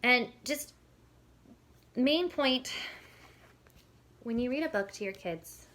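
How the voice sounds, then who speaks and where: A woman's voice: on the high side, animated, takes it slowly, a bit bright. A woman speaking as a parenting educator, giving an informal, conversational lesson and explaining as she goes. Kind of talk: informal instructional talk with demonstrated questions.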